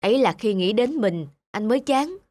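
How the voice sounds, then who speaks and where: A man's voice: high-pitched, animated, moderate pace, a little mellow, no echo. A man voicing a discontented young husband in a cartoon, envious, grumbling and easily irritated. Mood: discouraged, envious. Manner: grumbling, complaining. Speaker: voice actor. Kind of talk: cartoon dialogue dub.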